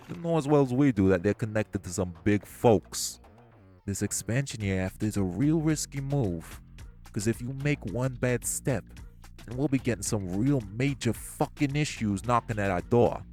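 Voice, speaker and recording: A man voicing hostile crime bosses in an audio roleplay drama, profane and confrontational, with soft music behind. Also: fairly low pitch. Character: hostile male crime bosses, magic gangsters.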